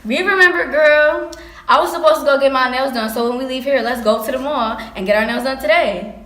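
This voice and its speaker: Woman as catty gossiping teen girl, slangy, slightly high voice, echoey stage play. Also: fairly quick, fairly bright tone. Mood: chatty. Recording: echoey stage, faint noise.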